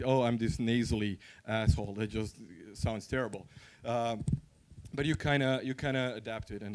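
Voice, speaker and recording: A man with a Croatian accent addresses a conference in an informal, conversational way. He speaks candidly, in the manner of someone sharing personal anecdotes and advice, at a medium pitch. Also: a little mellow.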